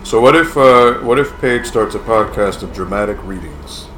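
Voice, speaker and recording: A man with a monotone voice chatting casually as a live stream co-host, reading out chat and commenting on a game. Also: fairly low pitch, normal speed. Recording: roomy, noisy live-stream room.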